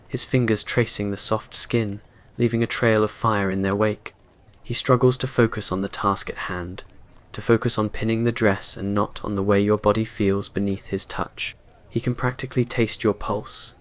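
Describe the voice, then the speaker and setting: Guy narrating a romance asmr story, low voice, pretty flat intonation, brooding and earnest. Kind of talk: scripted dramatic narration, romantic ASMR roleplay.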